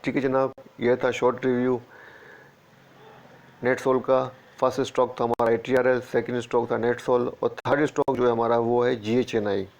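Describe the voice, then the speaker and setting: A man's voice: mid-range voice, little pitch movement, moderate pace, a little mellow. A man with a sore throat, steadily walking through stock charts and repeating price levels as a market analyst. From Pakistan. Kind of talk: screen-share chart walkthrough.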